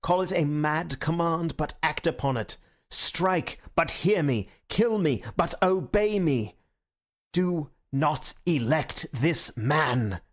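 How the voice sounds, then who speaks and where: A man's voice: medium pitch, moderate pace, gravelly. A man voicing a passionate, desperate anarchist in a storybook, crying out and pleading. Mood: desperate sincerity. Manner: impassioned shouting and pleading.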